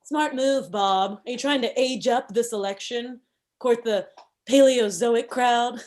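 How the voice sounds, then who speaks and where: Actress as pushy, high-voiced campaign manager. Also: female voice, a little slow, darkish tone, natural voice. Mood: sardonic. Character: brisk, cynical female political campaign manager. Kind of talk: comedy script table read.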